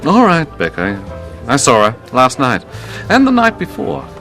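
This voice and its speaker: Gossipy bartender, lively intonation. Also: male voice, mid-range voice, unhurried, soft background music, heavily acted. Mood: curious, teasing. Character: gossipy, observant male hotel bartender. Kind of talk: detective radio drama acting.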